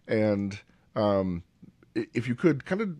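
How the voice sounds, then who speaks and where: A man's voice: fairly low pitch, very animated, slow, mellow, no echo. A man hosting a podcast, asking long questions while searching for words, with many restarts. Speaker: podcast host. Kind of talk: interview questions.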